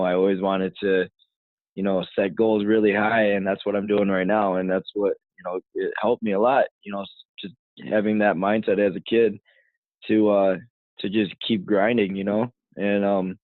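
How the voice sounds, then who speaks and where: A man in his twenties from the United States rambles casually as a podcast guest, leaning on "you know" between thoughts. His voice sits very low and barely rises or falls. It comes through a thin, phone-like line with a dry sound. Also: flat delivery, normal speed, no room sound. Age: 20s. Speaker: guest, fighter.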